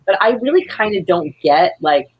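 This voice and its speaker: A woman's voice: lowish voice, animated, normal speed, dull audio, slight background noise. A woman guest chatting casually in an informal, gushing, rambling way. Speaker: guest, playwright and audio drama creator. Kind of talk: casual chat.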